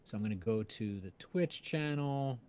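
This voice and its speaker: A man's voice: medium pitch, lively intonation, normal speed, a little mellow. A man hosting a casual livestream chat over an online voice call. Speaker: livestream host.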